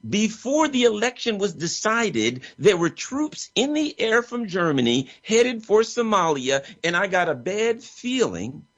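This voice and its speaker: A man's voice: high voice, big pitch swings, measured pace, few pauses, fairly bright tone. Fired-up guy hosting a talk show, voice jumps all over, muffled audio. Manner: impassioned, emphatic.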